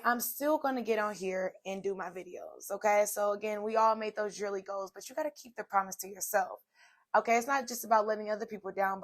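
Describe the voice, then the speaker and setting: Slightly hoarse, chatty woman host. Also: fairly high pitch. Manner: chatty, direct to viewers, repeats "okay" and "y'all". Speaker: podcast host. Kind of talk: inspirational solo talk. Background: soft music playing.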